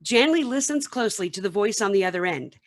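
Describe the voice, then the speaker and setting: A woman's voice: medium pitch, expressive, fast. A woman reading scripted narration for a radio play, with some background noise.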